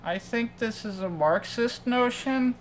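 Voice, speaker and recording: Casting: woman, fairly high voice, very slow and formal, reading debate questions, slight noise. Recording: faintly noisy amateur recording.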